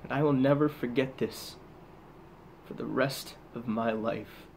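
Man acting slow, haunted war veteran. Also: medium pitch, steady tone, a little hiss or hum, close to an everyday voice. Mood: grieving, guilty. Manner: halting, intense, with heavy pauses. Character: haunted, guilt-ridden male soldier back from war. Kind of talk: dramatic stage monologue.

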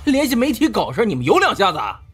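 A man's voice: high voice, huge pitch swings, fast, music in the background, voice pushed up. A man voicing an arrogant, foul-mouthed thug boss who sneers and barks orders in an audiobook. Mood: furious. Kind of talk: dramatised audiobook character acting.

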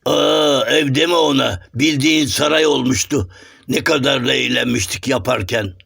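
A man voicing a grumpy, blunt, mocking folk clown in a children's cartoon, with rough, exclamatory comic retorts. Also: medium pitch, moderate pace, a bit bright, heavily acted. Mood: cheerful. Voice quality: gravelly, rough.

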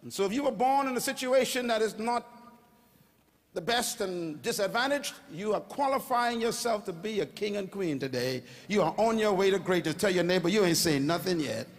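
An older man with a Bahamian accent preaching a sermon on stage into a handheld mic, calling on the crowd to answer back and rising to shouts. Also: high-pitched, expressive, moderate pace, slight background noise. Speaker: pastor. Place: large auditorium stage. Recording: long hall reverb.

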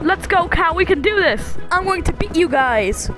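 A woman doing an amateur, playful, exclamatory fan dub of animated shorts, with improvised-sounding dialogue. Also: very high-pitched, normal speed, over music. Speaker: amateur voice actor.

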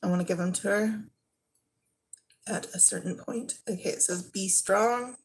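A woman's voice: mid-range voice, background noise. A woman in her thirties chatting casually through a thrift haul at home, describing each item as she handles it. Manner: unhurried, trailing off while handling items. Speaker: vlogger. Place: living room at home.

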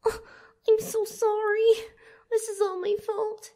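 A woman voicing a gentle, guilt-ridden child in a cartoon dub, stammering tearful apologies. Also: very high-pitched, little pitch movement, slow. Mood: tearful apology. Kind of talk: cartoon comic dub acting.

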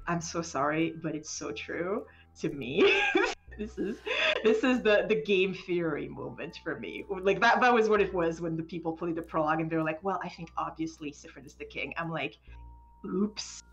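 A woman chatting casually as a game developer in an interview, animated, often interrupting herself and stammering over words. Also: low-pitched, moderate pace, dull audio, faint music behind. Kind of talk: casual live stream chat.

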